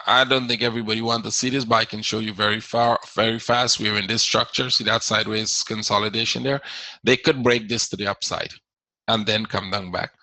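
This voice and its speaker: Caribbean-accented male webinar host, bright voice, dry close mic, casual Q&A. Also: fairly low pitch, no room sound, low-quality recording. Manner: explains casually, repeats words, reads out viewer questions. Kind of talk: live webinar Q&A.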